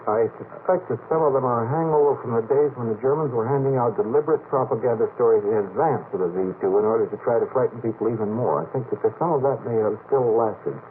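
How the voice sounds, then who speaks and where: Measured man on thin, hissy old radio. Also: medium pitch. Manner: measured, skeptical, explanatory. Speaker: expert radio panel guest. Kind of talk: late-night radio panel discussion. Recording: noisy narrowband vintage radio tape.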